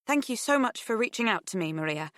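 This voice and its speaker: A nervous teenage girl, acted by a woman narrating an audiobook, courteous and hesitant. Her voice sits higher than the narration, close and dry, with small stammers as she picks her words. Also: animated, quick pace, a bit bright, no echo. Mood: polite, stalling. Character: nervous, polite teenage girl amateur investigator. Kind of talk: audiobook character dialogue.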